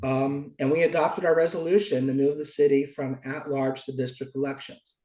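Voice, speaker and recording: A middle-aged American man, stuffy with a cold, giving deliberate, articulate interview answers as a local politician. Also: medium pitch, little pitch movement, warm tone. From Oakland, California, United States. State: stuffy from a cold, cough drop in mouth. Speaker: local politician, former mayor. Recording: roomy, echoing sound.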